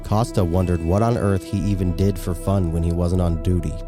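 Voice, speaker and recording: Male narrator, low-pitched, scripted horror storytelling over clearly audible music. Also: steady tone, a little fast.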